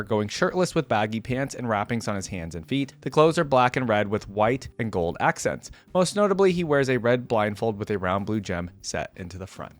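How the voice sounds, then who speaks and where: A man hosting a casual gaming reaction video, reading aloud and adding informal side comments into a close microphone. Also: mid-range voice.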